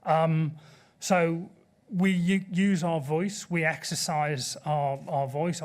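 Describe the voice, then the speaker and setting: A middle-aged man answering at a conference panel, earnest and measured as he presses his point, speaking into a table microphone in a large auditorium. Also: fairly high pitch. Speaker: panelist from a sea rescue group.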